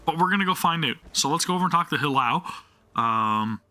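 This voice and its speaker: A man's voice: fairly high pitch, expressive, a little fast, sharp tone. A man live-streaming a game, talking to viewers and thinking aloud in a casual way, often questioning himself.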